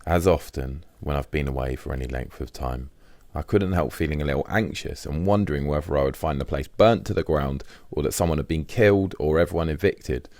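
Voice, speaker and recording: Very deep-voiced man reading a diary. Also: a little mellow, no room sound, clean studio sound. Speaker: audiobook diary narrator. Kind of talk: scripted first-person diary narration.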